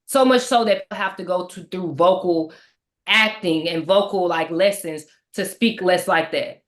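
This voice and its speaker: Animated Texan woman, emphatic. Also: fairly low pitch, moderate pace. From Texas. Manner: animated, emphatic, rhetorical questions. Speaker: book discussion guest. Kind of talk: casual book discussion.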